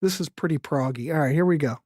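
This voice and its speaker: A woman's voice: deep voice, fast talker, warm tone, slightly rough, no echo. Woman, mature, music show host, casual live chat, informal and rambling, dry close sound.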